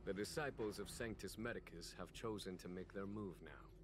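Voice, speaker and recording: A man playing a shrewd, unhurried general in a video game, measured and musing, with quiet authority. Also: sits low, background noise, natural voice. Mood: quietly amused. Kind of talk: scripted video game voice acting. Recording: background music under the voice.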